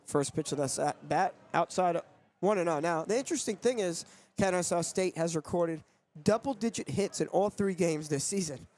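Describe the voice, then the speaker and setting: A man's voice: high voice, darkish tone. A young man doing sports broadcast commentary as a play-by-play announcer and reporter. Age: young adult.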